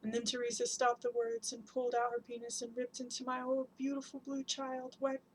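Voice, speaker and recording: A woman giving a dramatic spoken-word performance, chanting long repeated lists in an incantatory way and swinging between hushed whispers and loud cries. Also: fairly high pitch, monotone, fairly quick, slight background noise, poor audio.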